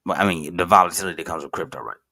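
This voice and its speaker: Low-voiced man in his thirties, rambling. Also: rapid-fire, bright, forceful tone. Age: 30s. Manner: rambling, self-correcting, with frequent fillers and restarts; informal, addresses viewers as "guys". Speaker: finance video host. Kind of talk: casual screen-share commentary.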